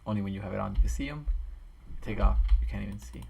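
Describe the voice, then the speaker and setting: A man with a raspy, tired voice chatting casually and rambling as he builds a game map on a stream, talking into a desk microphone. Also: lowish voice, fast, warm tone, faint music behind. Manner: rambling, thinks aloud with restarts. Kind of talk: casual commentary while building.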